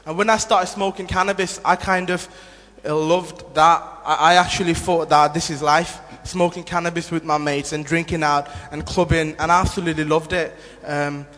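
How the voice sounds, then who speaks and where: A young man from Nepal shares his testimony in a church hall, speaking earnestly and haltingly, full of ums and restarts. His voice sits a little high, and his words ring out with long reverb across the room. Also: fairly even intonation, a bit bright. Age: 20s. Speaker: guest giving a testimony.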